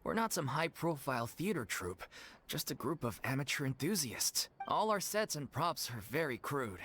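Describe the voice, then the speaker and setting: A man's voice: mid-range voice, big pitch swings, moderate pace, a bit bright. An actor playing an earnest, apologetic male leader of an amateur theater troupe in a game cutscene. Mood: rueful. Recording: game audio with faint music.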